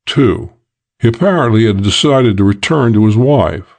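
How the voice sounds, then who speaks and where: A man's voice: on the low side, expressive, normal speed, creaky, a little mellow. A man narrating a first-person detective audiobook in a dry, wry, hard-boiled way.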